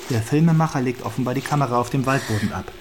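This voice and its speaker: A man's voice: medium pitch, very fast, background noise, close to an everyday voice. A man playing an eager, teasing young police detective in a naturalistic German radio crime drama, with a wry, conversational delivery. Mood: explaining.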